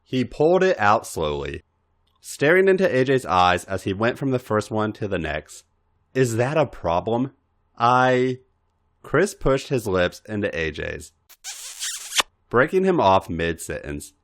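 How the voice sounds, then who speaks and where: A man with a fairly deep voice reads fiction aloud for a podcast. His narration is highly animated, with big swings in pitch across the phrases. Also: a little slow. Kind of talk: expressive scripted story narration.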